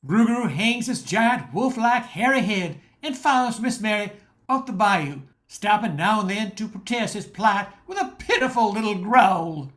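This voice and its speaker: A woman's voice: mid-range voice, very animated, moderate pace. A woman reading scripted narration for a radio drama, as the storyteller between the characters' lines.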